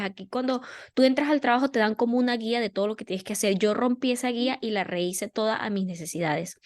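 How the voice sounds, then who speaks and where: A woman co-hosting a podcast, telling stories in a lively, casual way with many fillers. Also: fairly high pitch. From Venezuela. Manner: animated storytelling with many fillers. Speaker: podcast co-host. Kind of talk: casual chat.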